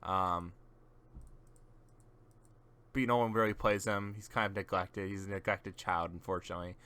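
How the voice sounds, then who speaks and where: A man's voice: sits low, steady tone, normal speed, pushed and bright, smooth. A man casually chatting on a gaming stream, giving rambling, teasing explanations to a friend as he shows off game characters.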